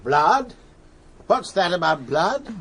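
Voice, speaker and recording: A man playing a pompous, indignant ship's captain in a radio drama, middle-aged, with a gravelly, sharp voice and blustering, suspicious manner. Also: high-pitched, big pitch swings, slow, slight background noise, heavily acted. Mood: stern suspicion. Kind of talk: BBC radio drama acting.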